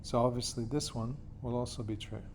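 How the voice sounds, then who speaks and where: A man from Australia teaches a lesson in a patient, step-by-step way. His voice sits at a middle pitch and he keeps checking that his students follow. A faint background noise runs under the recording. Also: flat delivery, brisk, darkish tone. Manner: patient, checks in with "okay?" and "yeah?". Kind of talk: classroom lesson explanation.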